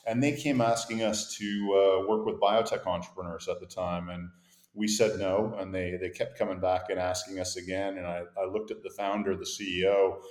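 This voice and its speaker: A middle-aged man with a Canadian accent, a company founder telling his career story in measured, articulate sentences. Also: deep voice, fairly even intonation, a little mellow. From grew up in Montreal, born in Boston. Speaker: guest, company founder and CEO. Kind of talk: interview conversation. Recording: echoey room.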